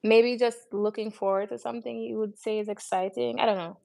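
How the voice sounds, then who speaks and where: A young woman in casual conversation as a podcast co-host, musing in a low-key way. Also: fairly even intonation, brisk, creaky, warm tone. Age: young adult. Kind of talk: casual chat.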